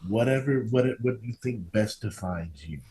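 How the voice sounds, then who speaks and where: A man's voice: on the low side, expressive, normal speed, crowd noise. A man casually interviewing a guest as an informal, encouraging podcast host.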